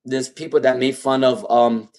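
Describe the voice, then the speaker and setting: A man's voice: medium pitch, level tone, normal speed, darkish tone. A man with a non-native accent and a speech impairment, giving live tarot readings. He repeats names and questions over and over as he pulls cards. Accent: non-native English. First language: not English. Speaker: tarot reader.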